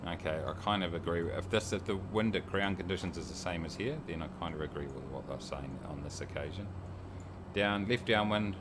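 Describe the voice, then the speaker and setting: Kiwi bloke with a real low voice, chatty and jokey, reading out chat, bit of steady hum behind him. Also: male voice, fairly quick. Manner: chatty and joking, reads viewer comments aloud. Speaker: streamer. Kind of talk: casual livestream chat while flying a sim.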